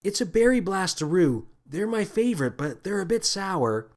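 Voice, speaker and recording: Man putting on a high-pitched, earnest little girl. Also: big pitch swings, normal speed. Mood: shy, friendly. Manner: earnest, plaintive, simple. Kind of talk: children's space adventure audiobook.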